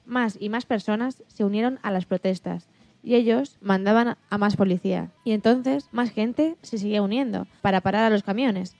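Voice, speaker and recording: A woman's voice: medium pitch, a little mellow, no room sound, natural voice. A woman playing an animal liberation activist and mother who tells her story earnestly in an acted radio interview, stumbling slightly now and then.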